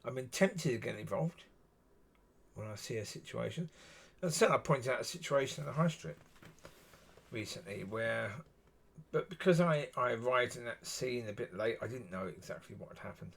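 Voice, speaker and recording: A man with a husky voice, talking alone in a rambling, musing way and often saying "yeah". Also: medium pitch, expressive, normal speed, fairly bright tone. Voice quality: husky, gravelly. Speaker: solo video talker. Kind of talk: rambling solo monologue.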